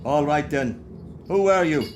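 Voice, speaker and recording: A man's voice: fairly high pitch, expressive, a little slow, a little hiss or hum. A man with an Irish accent playing a stubborn, easily frightened old miser in a radio play, stammering and blustering. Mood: scoffing.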